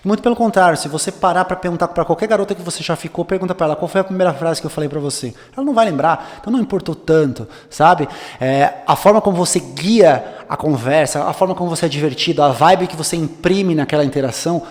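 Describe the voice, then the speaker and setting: A young man talks through his advice in a loose, coaching way, full of fillers and restarts. His voice sits a little high, and a long reverb tail hangs behind it in the studio. The feel is relaxed podcast conversation. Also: quick pace, few pauses.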